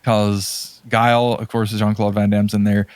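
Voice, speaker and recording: A man's voice: on the low side, little pitch movement, moderate pace, darkish tone, dry recording. A man co-hosting a podcast in casual chat, rambling and often hesitating and correcting himself as he goes. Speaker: podcast co-host.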